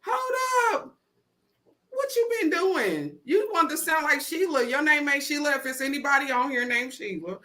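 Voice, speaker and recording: A woman's voice: animated, moderate pace, sharp tone. A woman giving an inspirational spoken-word talk, with a repetitive, rhythmic preaching cadence and call-outs to the crowd. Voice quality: deep voice. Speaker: motivational speaker.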